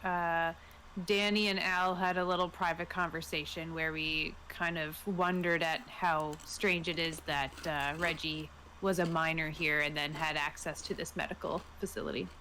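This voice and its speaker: A woman's voice: low-pitched, fairly even intonation, normal speed, bright, forceful tone, a little hiss or hum, poor audio. A woman playing a tabletop game over a video call, chatting and improvising casually. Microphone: online video call.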